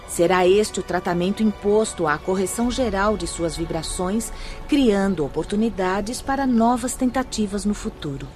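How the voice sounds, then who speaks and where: An actress playing a wise, gentle spirit teacher in a Brazilian radio drama, speaking formally and with measured, didactic care. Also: female voice, medium pitch, lightly acted. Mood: grave, compassionate. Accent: Brazilian Portuguese. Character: wise, gentle woman spirit instructor explaining afterlife procedures.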